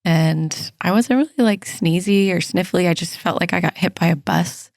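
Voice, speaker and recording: A woman nurse practitioner hosting a casual health podcast, a little hoarse as she loses her voice, chatty and laughing often. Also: lowish voice, steady tone, normal speed, a little mellow, no room sound, clean studio sound. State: losing her voice.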